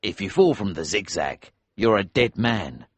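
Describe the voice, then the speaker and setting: A man playing a vengeful smuggler and innkeeper who talks in a cold, measured way. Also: low voice, very animated, slightly rough, dry recording, full character voice. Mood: steady, fatherly. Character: grim, vengeful older male innkeeper and smuggler. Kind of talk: audiobook character voice.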